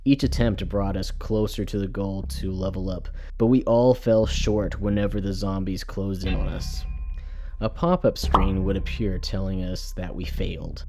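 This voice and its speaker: A man reads a scripted first-person horror story in a measured, dramatic storytelling manner. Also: deep voice, fairly even intonation, warm tone. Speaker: storyteller, narrator. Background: music.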